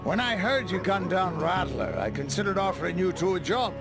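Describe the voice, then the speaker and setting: An arrogant Old West crime boss, blustering and sneering. His high voice swings widely in pitch and has a gravelly edge. Music plays behind him. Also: animated, brisk. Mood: contemptuous. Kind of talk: video game cutscene acting.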